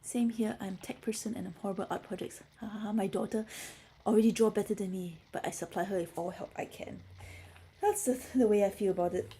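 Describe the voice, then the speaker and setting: Middle-aged woman from Singapore, mellow, relaxed livestream host, faint noise behind. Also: mid-range voice, fast. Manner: chatty, reads and answers chat comments. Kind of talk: casual livestream chat.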